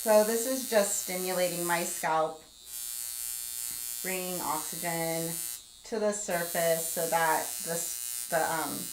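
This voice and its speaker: A woman's voice: fairly low pitch, slow, a little hiss or hum. Chatty woman in her forties, echoey room. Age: 40s. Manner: chatty, explains steps while multitasking, reads chat aloud. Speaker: skincare livestream host. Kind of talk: casual live tutorial chat.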